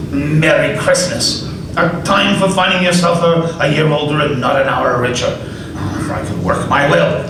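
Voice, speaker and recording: A man playing a miserly, bitter old moneylender in a live radio play, gruff and scornful. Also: fairly high pitch, very echoey, far from the mic, noisy background.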